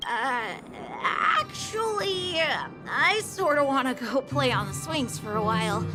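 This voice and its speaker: A nervous small child in a video game. The voice is very high and whiny, wavering up and down. Words come slowly and haltingly. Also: female voice, lively intonation, loud music behind the voice. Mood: sheepish, pleading. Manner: halting, whimpering.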